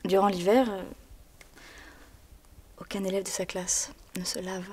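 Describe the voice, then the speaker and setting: An actress delivering a theatrical monologue in a hushed voice that often drops to a whisper, pausing as she tells a story. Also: mid-range voice, little pitch movement, a little hiss or hum.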